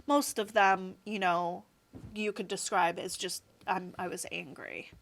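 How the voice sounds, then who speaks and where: A woman's voice: fairly low pitch, fairly even intonation, measured pace, gravelly, fairly bright tone. A woman in her thirties, a guest in a casual interview, talking in a chatty, rambling way full of "like" and "you know". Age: 30s.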